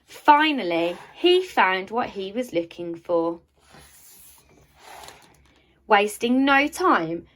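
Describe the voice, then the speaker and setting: Woman reading expressively, slow and animated. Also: medium pitch. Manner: expressive storytelling with deliberate pauses and emphasised words. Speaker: primary school teacher reading to pupils. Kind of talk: children's picture book read-aloud.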